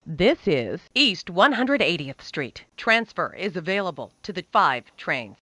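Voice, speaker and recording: Woman train announcer, lively pitch swings. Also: no echo. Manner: measured, formulaic announcer delivery. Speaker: transit announcer.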